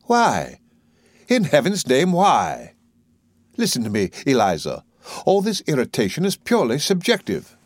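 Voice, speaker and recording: A man's voice: mid-range voice, huge pitch swings, brisk, no echo, clean studio sound. A man playing an arrogant, impatient male professor with an imperious, condescending, exclamatory way of talking in a play reading. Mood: dismissive.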